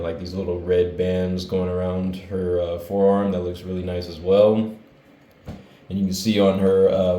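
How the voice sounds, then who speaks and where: Low, flat-voiced man with a cold. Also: reverberant. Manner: relaxed, rambling, with many fillers. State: hoarse, getting over a cold. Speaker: toy reviewer. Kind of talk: casual toy review vlog. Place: his room with hardwood floor.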